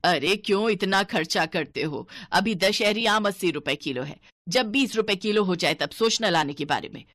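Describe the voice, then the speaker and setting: A woman's voice: mid-range voice, expressive, normal speed, dry recording, clean studio sound. A woman voicing a stingy, scolding older mother-in-law in a cartoon story. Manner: bossy, penny-pinching, sharp-tongued.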